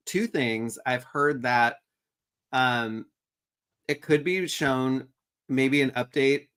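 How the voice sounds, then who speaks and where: A man's voice: mid-range voice, fairly bright tone. A man co-hosting a toy news show in casual chat, easygoing and chatty, laughing along.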